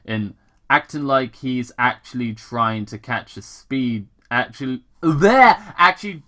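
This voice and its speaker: A man's voice: mid-range voice, lively intonation, measured pace, fairly bright tone. A man casually reading fan fiction aloud with commentary, stumbling over words, repeating himself and swearing in asides.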